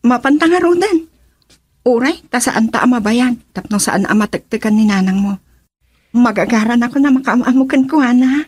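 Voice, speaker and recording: An actress with a Filipino accent playing a devoted young daughter and sweetheart in a radio drama, expressive and earnest. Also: female voice, fairly high pitch, normal speed, breathy edge, no room sound, clean studio sound. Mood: eager.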